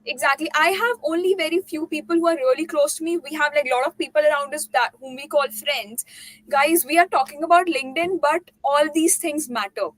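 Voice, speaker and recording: A woman hosts a casual chat in a high voice. She is eager and agreeable, and quick to back up her guest's points. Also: no echo, rough recording. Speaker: host.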